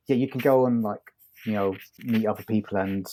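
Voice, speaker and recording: A man's voice: fairly low pitch, steady tone, fairly quick, mellow, a little hiss or hum, low-quality recording. A man co-hosting a podcast, talking casually and appreciatively in loose, hesitant phrases. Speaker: podcast co-host. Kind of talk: casual review chat.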